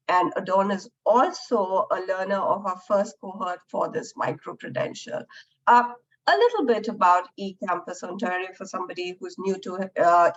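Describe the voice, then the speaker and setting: Woman, webinar moderator, deeper voice from a flu, frequent "uh" fillers, mid pitch, dull video-call sound. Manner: frequent "uh" fillers, friendly and welcoming. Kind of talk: opening a professional webinar.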